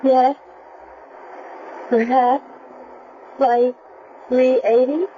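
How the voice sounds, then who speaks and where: High-voiced troubled young woman, vintage radio drama. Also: flat delivery, very slow, slight background noise. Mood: confused, straining. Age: young adult. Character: troubled young secretary regaining her memory, earnest and torn. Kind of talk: 1970s radio drama acting. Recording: muffled 1970s radio broadcast.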